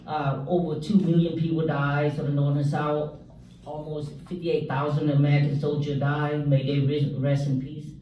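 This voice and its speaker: A man from South Vietnam, giving a halting church testimony full of fillers and joking asides. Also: on the high side, flat delivery, muffled recording, a little hiss or hum. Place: church hall. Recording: echoey hall, mic from far off.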